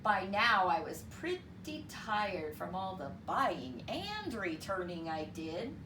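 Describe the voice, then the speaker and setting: Female children's story reader, very expressive and unhurried, teacherly; recorded at a distance from the mic with noticeable noise. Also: medium pitch. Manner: animated, deliberate, teacherly delivery for children. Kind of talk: expressive read-aloud storytelling with counting lesson. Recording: roomy, distant mic with clear background noise.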